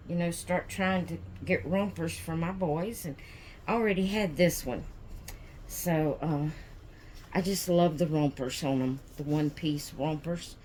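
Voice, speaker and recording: A hoarse woman rambles through a casual home vlog at an unhurried, drawn-out pace. Her voice sits a little low, and she circles back with fillers and restarts. A faint hum of room ambience sits behind her. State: hoarse from sneezing. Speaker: doll channel vlogger. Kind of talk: casual chat. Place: at home indoors.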